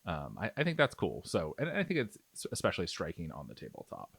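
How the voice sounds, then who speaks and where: Low-voiced man with a lively, swooping delivery. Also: quick pace, creaky, slightly rough, no echo. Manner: conversational, thinking aloud. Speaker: miniature painting tutorial host. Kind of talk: casual, explanatory tutorial narration over painting.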